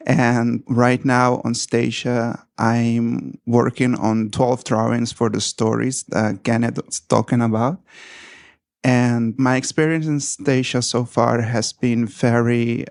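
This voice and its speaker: A man from Haiti and Curacao reflects on his artistic work in a measured way. His delivery is slow and stays fairly level, with a gravelly fry in the voice. He sounds like a guest introducing himself to an audience. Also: medium pitch, a little mellow, no echo.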